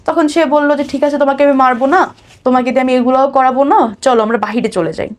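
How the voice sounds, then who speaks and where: Higher-voiced Bangladeshi woman telling her story. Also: fairly even intonation, fast. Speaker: interview guest telling own life story. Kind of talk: personal storytelling, conversational.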